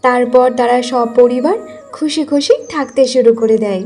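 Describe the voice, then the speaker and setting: Woman, high-pitched voice, scripted storytelling, cartoon narration, background music. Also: moderate pace, a little mellow.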